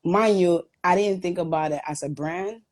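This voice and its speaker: A woman's voice: fairly low pitch, moderate pace, darkish tone. A woman from Guatemala raised in the Bronx, a guest business owner earnestly telling how her brand began. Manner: earnest, storytelling with "um" fillers. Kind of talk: telling her story in a live chat.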